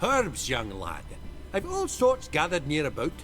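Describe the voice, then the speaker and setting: An actor playing a chatty, kindly old village herbalist in a video game, with a folksy sing-song delivery. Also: male voice, on the high side, huge pitch swings, a little slow, fairly bright tone, soft background music, exaggerated voice. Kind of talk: video game character acting.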